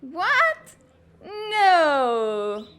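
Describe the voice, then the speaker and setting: A woman voicing a brash, hot-tempered teenage girl in a comic dub, blustery, bossy and quick to get defensive. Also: very high voice, huge pitch swings, a bit bright, exaggerated voice. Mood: flustered. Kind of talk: animated comic-dub voice acting.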